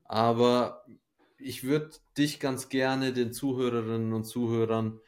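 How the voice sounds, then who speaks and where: A man's voice: medium pitch, little pitch movement, a little slow, a little mellow. A man from Ukraine hosting a podcast, speaking in an earnest, personal way to listeners and his guest. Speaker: podcast host. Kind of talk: conversational podcast introduction and interview.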